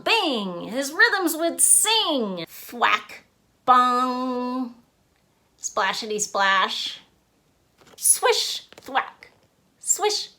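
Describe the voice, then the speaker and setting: Female storybook reader, high and very animated, unhurried delivery, expressive with rhymes and sound effects. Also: creaky, a little hiss or hum. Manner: expressive, emphatic sound words. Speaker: author and illustrator reading own book. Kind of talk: read-aloud of rhyming children's picture book.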